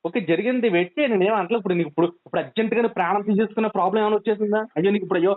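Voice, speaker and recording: A man's voice: high-pitched, very fast. A man with a coastal Andhra Telugu accent, pleading urgently and insistently on a phone call, admonishing and repeating himself. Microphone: telephone. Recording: tinny narrowband phone call.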